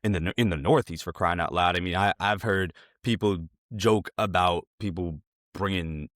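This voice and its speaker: A man's voice: sits low, normal speed, fairly bright tone, no room sound. An American man hosting a casual interview, talking in a chatty, informal and wordy way. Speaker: interview host.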